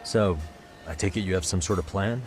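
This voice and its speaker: A cool private detective voiced by a man with a lowish voice. He is direct and casual, calmly probing as in a game cutscene, with faint background noise. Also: a little fast, close to an everyday voice. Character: cool, level-headed male private detective. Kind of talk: video game cutscene acting.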